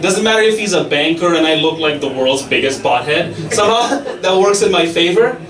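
A young man doing a stand-up set on a club stage with a handheld mic, delivering mock-authoritative rules and quick asides. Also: fairly high pitch, expressive, crowd noise. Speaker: stand-up comedian. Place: comedy club stage, audience laughing. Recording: echoey club from far off, loud audience laughter.

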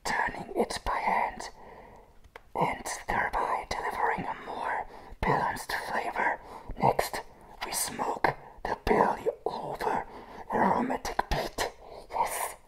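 A young man playing a crazy, jittery circus clown in a whispered ASMR roleplay, haltingly repeating his words with nervous apology in his manner. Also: fairly bright tone, poor audio. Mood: unhinged, intent. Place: small room with a plain grey wall. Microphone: close to the mic and camera.

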